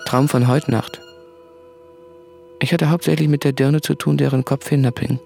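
An actor playing a brooding, tormented diarist in a radio play, confessing in an introspective, halting monologue. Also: male voice, medium pitch, steady tone, gravelly, darkish tone, faint music behind, close to an everyday voice. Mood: haunted.

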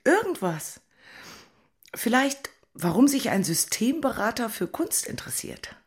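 An actress playing a moody, emotional woman in her forties in a radio drama, with a husky voice. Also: a little high, big pitch swings, a little slow, full character voice. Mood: low, playful. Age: 40s. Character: emotional, moody single woman in love affair. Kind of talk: German radio drama dialogue.

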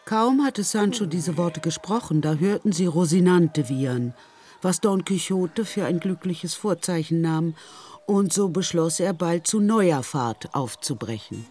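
A woman's voice: fairly low pitch, animated, measured pace, warm tone. A woman reading scripted storybook narration as the narrator of a radio drama.

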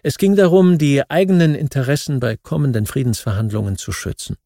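Mellow male audiobook narrator. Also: medium pitch, brisk, vocal fry, dry recording, clean studio sound. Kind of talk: scripted non-fiction narration, history.

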